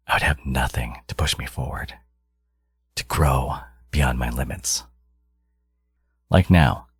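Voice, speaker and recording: A man playing a smug, possessive supervillain genius in an intimate audio roleplay, taunting his hero in a theatrical, teasing drawl with a gravelly, husky voice. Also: extremely low pitch, dry recording, exaggerated voice. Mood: possessive, boastful.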